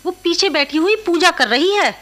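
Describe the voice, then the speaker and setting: A young woman of the household in a radio drama. Her voice is girlish and strikingly high. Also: animated, moderate pace, fairly bright tone. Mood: complaining. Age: young adult. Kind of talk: Hindi radio play acting.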